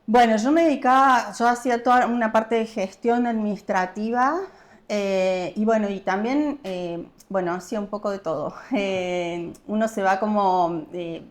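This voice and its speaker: Argentine woman, podcast guest, easygoing and unhurried, pauses to find her words. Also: medium pitch. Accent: Argentine Spanish. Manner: relaxed, searching for words, pausing often. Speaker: guest, property investor. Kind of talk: casual podcast interview.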